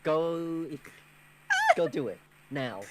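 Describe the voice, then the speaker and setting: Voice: medium pitch, expressive, extremely slow, heavy background noise. A streamer hosting a game livestream with casual, joking, playfully bossy banter. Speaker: streamer, host. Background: background music.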